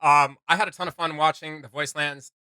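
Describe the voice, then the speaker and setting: A man's voice: a little high, steady tone, fairly quick, sharp tone, smooth, no room sound. A man chatting casually as co-host of a reaction video, rambling and often searching for words.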